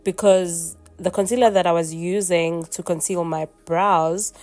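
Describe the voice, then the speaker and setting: A woman's voice: on the low side, fairly even intonation, measured pace, darkish tone, faint music behind. Congested young woman. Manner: chatty, explains each step. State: congested from a cold. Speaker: makeup vlogger. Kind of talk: makeup tutorial voice-over.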